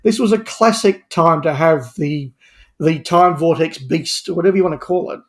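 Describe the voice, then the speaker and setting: A man's voice: a little high, moderate pace. A man speaking as a podcast guest in an opinionated discussion, stressing his points by repeating words and phrases. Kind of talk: animated opinionated panel discussion.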